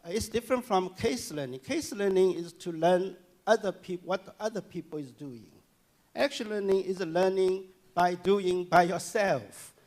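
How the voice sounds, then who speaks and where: A man giving a public lecture and answering audience questions, speaking haltingly with many fillers and restarts. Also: high-pitched, a little mellow. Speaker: guest lecturer. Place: lecture hall.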